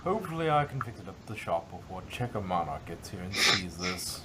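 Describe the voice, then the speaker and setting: A man's voice: very animated, fairly quick, sharp tone, breathy edge, exaggerated voice. A man voicing a refined, dramatic female unicorn seamstress in a fan fiction reading, genteel and theatrical. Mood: weary relief. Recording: noisy home recording with rumble.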